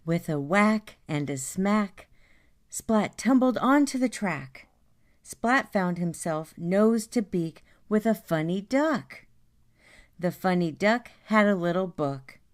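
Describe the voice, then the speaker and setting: A woman reading a children's story aloud in a deliberate, expressive way. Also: medium pitch. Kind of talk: read-aloud story narration.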